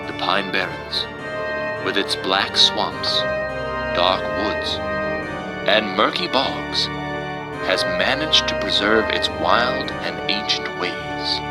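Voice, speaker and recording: A man giving a dramatic, theatrical and emphatic reading of a children's story, with music behind him. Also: sits low. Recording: music under the voice.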